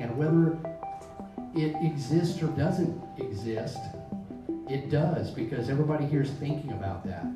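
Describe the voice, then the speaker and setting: A man in a casual interview at a festival, talking in a musing, enthusiastic way, with many fillers. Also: mid-range voice. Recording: echoey and distant, loud music.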